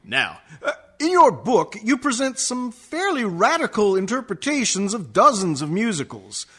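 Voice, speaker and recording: An actor playing a polite, hesitant arts show host interviewing a guest in a comic radio play. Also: male voice, high voice, huge pitch swings, moderate pace, sharp tone, husky, exaggerated voice. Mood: polite, baffled.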